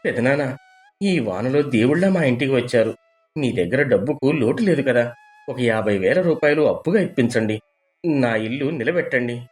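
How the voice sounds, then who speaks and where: A man acts out a young husband from a moral tale, crafty and given to desperate pleading. His delivery is theatrical and his voice sits at a medium pitch. Faint music plays behind him. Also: expressive, moderate pace, lightly acted. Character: scheming young husband faking tearful, desperate ruin. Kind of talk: dramatized moral story acting.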